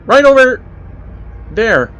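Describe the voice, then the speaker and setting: Man acting out an excited small child, high-pitched shouting. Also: takes it slowly, a little hiss or hum, heavily acted. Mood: excited, urgent. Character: excited, frightened six-year-old child calling for mother. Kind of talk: dramatic horror-story narration. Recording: dull lo-fi audio under music.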